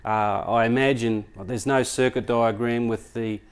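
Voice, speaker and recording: A man's voice: medium pitch, fairly even intonation, normal speed, smooth. Man casually demonstrating audio gear. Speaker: product reviewer.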